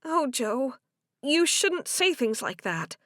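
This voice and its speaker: A woman voicing an earnest young woman in audiobook dialogue, shy yet spirited, with an expressive, questioning manner. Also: high-pitched, takes it slowly, no echo, studio quality, pitched above the performer's own voice, heavily acted.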